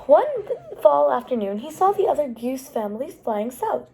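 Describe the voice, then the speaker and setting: Woman storytime reader for young children: high, very lively delivery, explanatory asides, slight background noise. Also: normal speed, vocal fry. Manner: animated, explains pictures and words as she goes. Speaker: storytime host reading picture books aloud. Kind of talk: expressive read-aloud for young children, with asides to the listeners.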